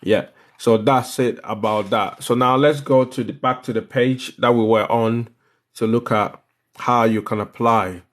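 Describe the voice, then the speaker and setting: A man's voice: medium pitch, steady tone, measured pace. A man with a Ghanaian accent hosting a live step-by-step tutorial, instructive and repeating phrases for emphasis. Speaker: livestream host explaining visa applications.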